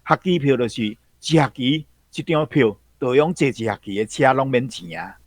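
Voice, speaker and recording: A man's voice: medium pitch, normal speed, no echo. A man with a Taiwanese accent explaining local history as a guest commentator, phrase by phrase.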